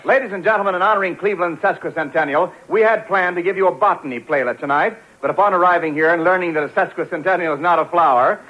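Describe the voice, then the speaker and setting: High-pitched 1940s radio announcer man. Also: a little hiss or hum. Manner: polished, formal delivery. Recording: muffled 1940s radio broadcast.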